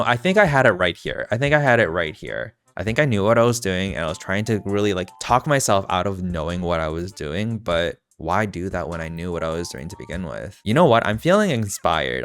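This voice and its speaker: Deep-voiced young man with vocal fry. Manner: chatty, campy, self-mocking asides. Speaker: gaming video host. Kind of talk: casual rambling commentary while playing.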